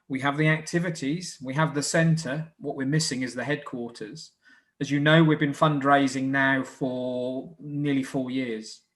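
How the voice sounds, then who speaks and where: A man with a mid-range voice presents a community group's evening event. He speaks as a leader, in an earnest and measured manner, partly following prepared remarks. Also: medium pitch, fairly bright tone. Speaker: scout group leader hosting a live presentation. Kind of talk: semi-scripted event presenting.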